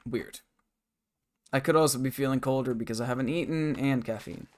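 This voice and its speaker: Hoarse streamer chatting through gameplay. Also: male voice, mid-range voice, brisk. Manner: rambling, muttering to self and chat. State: hoarse, scratchy throat. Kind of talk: casual live-stream gameplay chat. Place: bedroom at a desk.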